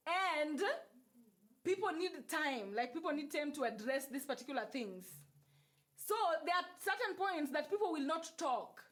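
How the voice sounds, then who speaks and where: High-voiced Kenyan woman on a livestream. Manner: repetitive, emphatic, switches into Swahili and Luo phrases. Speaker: livestream host. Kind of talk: casual spiritual talk to live viewers.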